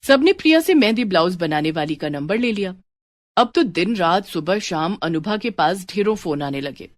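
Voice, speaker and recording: A woman's voice: on the low side, expressive, normal speed, no echo. A woman storyteller reading a scripted story as narration.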